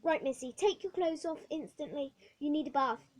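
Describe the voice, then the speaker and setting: A woman's voice: sits high, moderate pace, pitched above the performer's own voice, lightly acted. A woman voicing a stern, scornful orphanage matron who barks orders in a story reading. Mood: scolding. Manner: scolding, commanding.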